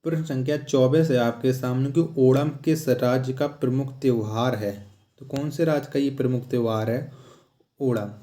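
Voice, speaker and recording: A man's voice: mid-range voice, steady tone, moderate pace, dark tone. A man teaching a quiz lesson as an exam-prep teacher, in a didactic way that repeats questions and answers. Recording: echoey, roomy sound.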